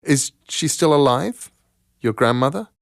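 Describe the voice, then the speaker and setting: A man reading the part of a curious, quietly playful ageless stranger in a storybook tale. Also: mid-range voice, lively intonation, unhurried, creaky, no echo, clean studio sound, voice pushed up. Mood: probing. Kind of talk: storybook character voice.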